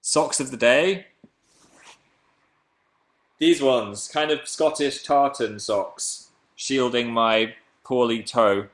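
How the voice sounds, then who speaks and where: A man in his twenties from England with a deep voice, chatting playfully on a webcam livestream while reading comments. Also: slow, pauses often. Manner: playful, jumps between high and low pitch. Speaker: livestream host. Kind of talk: casual live chat reading comments.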